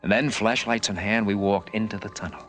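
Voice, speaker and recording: An actor playing a brash, wisecracking adventurer in a vintage radio drama, with slangy, tough-talking delivery and some first-person narration. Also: male voice, lowish voice, fairly even intonation, brisk, a bit bright, lightly acted. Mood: tense narration. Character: brash, wisecracking male adventurer, cajoling and determined. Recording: vintage radio drama recording with music behind.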